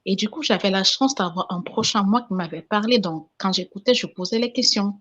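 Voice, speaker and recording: A woman's voice: medium pitch, fairly quick, fairly bright tone, slightly rough, highs cut off. A woman from Cameroon, calling in to a spiritual talk show to give an emotional testimony, often repeating herself. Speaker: caller giving testimony.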